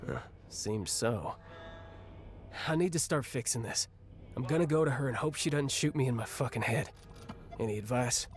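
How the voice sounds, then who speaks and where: A man acting an angry, ashamed younger brother in a video game cutscene, with a halting, bitter, confessional delivery. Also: mid-range voice, expressive, natural voice. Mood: subdued. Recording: music under the voice.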